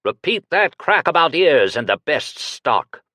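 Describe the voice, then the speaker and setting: A man's voice: on the high side, sing-song, measured pace, bright, forceful tone, no echo, clean studio sound, pitched above the performer's own voice, full character voice. A man voicing a proud, menacing warrior lord who speaks in curt commands. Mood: commanding.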